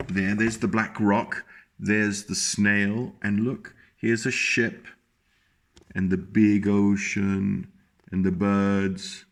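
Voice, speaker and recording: A man reading a picture book aloud to children, slowly and gently, stopping often to point out the pictures. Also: lowish voice, flat delivery.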